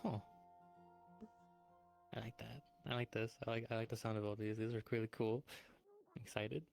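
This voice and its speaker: A man's voice: fairly low pitch, little pitch movement, normal speed. A man chatting casually and slangily in character as a newcomer in an online roleplay. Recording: clear music behind the voice. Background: music.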